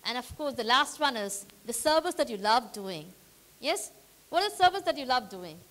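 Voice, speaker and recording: High, bright-voiced woman trainer. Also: normal speed. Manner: energetic, rallying the audience with questions. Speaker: workshop trainer. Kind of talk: interactive training session. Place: meeting hall with a live audience.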